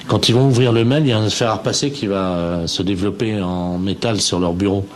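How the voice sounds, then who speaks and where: A man playing an earnest appliance salesman on a prank phone call, matter-of-fact and deadpan. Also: on the low side, a little fast, natural voice. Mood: deadpan, insistent. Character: earnest male appliance shop phone salesman spouting absurdities. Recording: noisy telephone line.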